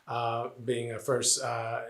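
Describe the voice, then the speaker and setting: A man's voice: mid-range voice, completely flat delivery, takes it slowly, creaky, a bit bright. Reflective man in an echoey room. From New Jersey, Puerto Rican family. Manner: earnest, lots of "uh" and "you know", repeats phrases. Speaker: interviewee, college administrator. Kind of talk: reflective interview answers.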